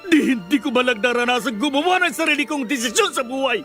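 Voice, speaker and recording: A man's voice: extremely high pitch, quick pace, sharp tone, faint music behind. A man playing a resentful, worn-out grown child in an impassioned, accusing Tagalog radio drama monologue with a Filipino accent. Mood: anguished, bitter. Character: anguished, exhausted, resentful grown son or daughter.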